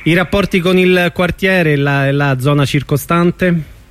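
A man with a voice a little on the high side works as a radio interviewer. He keeps the news interview informal, with brief questions and small murmured acknowledgements. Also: fairly even intonation, takes it slowly, a bit bright, smooth.

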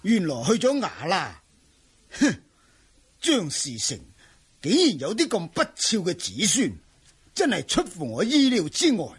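A man's voice: high voice, huge pitch swings, unhurried, pauses often, slightly rough, heavily acted. A male actor playing a proud, troubled martial-arts manor master in a Cantonese wuxia radio drama, with emphatic, sighing delivery. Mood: grave, indignant.

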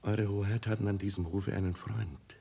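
Grave, low-voiced old courtier. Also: male voice, fairly even intonation, vocal fry, mellow, slightly rough. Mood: confiding, concerned. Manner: deliberate, deferential, formal. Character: grave, loyal older count, a longtime courtier. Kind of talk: classical German radio drama acting, 1950s.

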